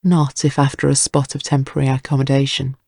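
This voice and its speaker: Creaky, dry-recorded dramatic narrator. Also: medium pitch, flat delivery, fast talker, darkish tone, no room sound.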